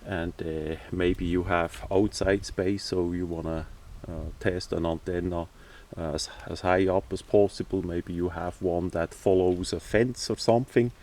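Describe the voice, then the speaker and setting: Slow, low-voiced man, halting delivery. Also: steady tone, darkish tone. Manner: halting, hesitant, matter-of-fact delivery. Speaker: hobbyist presenter. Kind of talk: casual hands-on product demonstration. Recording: distant microphone.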